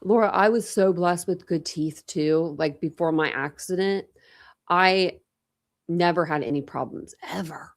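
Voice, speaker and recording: Young woman rambling on a livestream, slight lisp, kinda low gravelly voice. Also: unhurried. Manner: chatty, rambling, answers chat comments. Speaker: true crime livestream host. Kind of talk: casual livestream chat with viewers.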